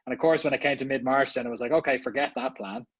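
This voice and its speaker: A man's voice: medium pitch, steady tone, fast talker, dry recording, highs cut off. Chatty Irish man on a video call. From Ireland. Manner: chatty, many fillers and restarts. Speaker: guest speaker, marketing executive. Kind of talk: casual online summit talk.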